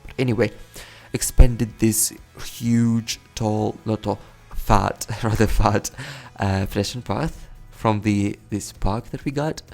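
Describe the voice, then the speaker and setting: Gravelly man rambling over a city build. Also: lowish voice, steady tone, slow pace, few pauses, a little mellow, soft background music. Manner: rambling, self-correcting, chuckles often. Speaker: let's play host. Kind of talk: casual gameplay commentary.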